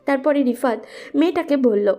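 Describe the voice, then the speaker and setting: Woman reading out a love story, really high pitch but soft mellow tone. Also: animated, moderate pace, faint music behind. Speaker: story narrator. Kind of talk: scripted romantic story narration.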